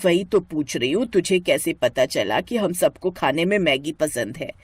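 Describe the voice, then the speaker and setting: A bossy middle-aged cartoon mother-in-law, put on by a female narrator. She nags and scolds in a lowered voice with lively swings, sharp-tongued and grumbling, recorded dry and close. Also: normal speed, husky, no room sound. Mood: scolding.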